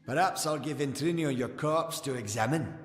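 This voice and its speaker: An actor playing a smug, menacing gnome scholar villain in a fantasy game, with a condescending, taunting, theatrical manner. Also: male voice, mid-range voice, moderate pace, natural voice. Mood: smug, cold. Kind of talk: scripted fantasy game dialogue. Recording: echoey hall reverb, music behind.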